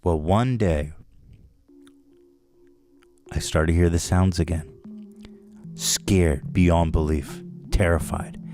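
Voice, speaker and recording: Man, very low voice, comic storyteller, dramatic delivery, music playing behind. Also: slow, pauses often. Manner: dramatic, with sudden hushed whispers. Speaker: podcast host.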